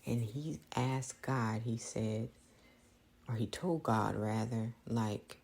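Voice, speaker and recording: A woman, thinking out loud in a reflective, prayerful monologue, halting and earnest as she repeats phrases. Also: extremely low pitch, creaky, husky. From small-town Louisiana, United States. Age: late 30s. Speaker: podcast host.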